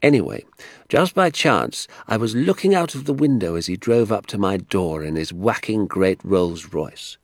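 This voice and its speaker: A man voicing an excitable little old country doctor in an audiobook, kindly and indignant, with emphatic, drawn-out, theatrical delivery. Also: fairly low pitch, no room sound, clean studio sound, voice pushed up. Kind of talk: acted audiobook dialogue.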